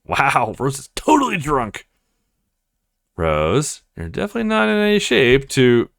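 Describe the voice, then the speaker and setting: A man reading visual novel dialogue aloud as a let's play streamer, acting out the characters' lines in an animated way. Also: medium pitch, measured pace, gravelly, no room sound, studio quality.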